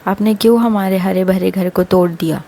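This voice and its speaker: An earnest elder daughter-in-law, read by a woman storyteller, talks in a plain, mellow voice. It is conversational family-tale dialogue with noise in the background. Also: mid-range voice, fairly even intonation, moderate pace, vocal fry, lightly acted. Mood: accusing.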